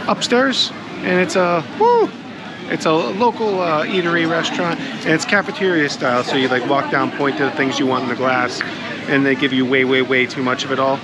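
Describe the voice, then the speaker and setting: A man talks casually to his vlog audience in a rambling, slightly hesitant way, his voice a bit low in pitch for everyday speech or close to average. Loud urban market and street noise surrounds him throughout. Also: normal speed. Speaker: travel vlogger. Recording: very noisy outdoor urban street ambience. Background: busy market and street noise.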